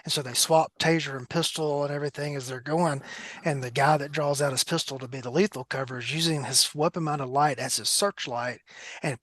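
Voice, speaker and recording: A man's voice: on the high side, fairly bright tone, no echo. A man hosting a panel discussion as a trainer, explaining his points emphatically in conversational speech. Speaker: panel host, firearms trainer.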